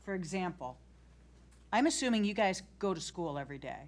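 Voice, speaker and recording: A woman's voice: on the low side, sing-song, fairly quick. A woman speaking informally as a meeting participant, putting questions to students. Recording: clearly noisy meeting pickup with clicks.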